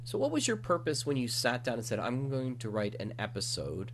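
Inquisitive man hosting, medium pitch. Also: big pitch swings, a little fast, a little hiss or hum. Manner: conversational, inquisitive. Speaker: podcast host, interviewer. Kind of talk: casual interview questions.

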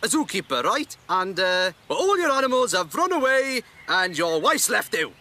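Cheeky, high, swooping little comic creature. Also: male voice, big pitch swings, normal speed, pushed and bright, full character voice. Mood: chirpy. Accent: British. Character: cheeky, daft small round creature, stage comic. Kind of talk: comedy sketch banter.

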